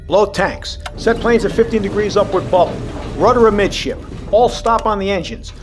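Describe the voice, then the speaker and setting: An actor playing a calm, seasoned submarine captain, commanding and reassuring, in an audio drama. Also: male voice, high-pitched, moderate pace, music in the background, natural voice. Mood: brisk command.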